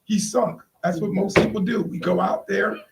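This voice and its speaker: Casting: high-voiced male co-host, informal preacher's delivery, picked up from a distance. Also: steady tone, moderate pace, creaky, background noise. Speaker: talk show co-host. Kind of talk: exhorting talk, like informal preaching. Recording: distant microphone.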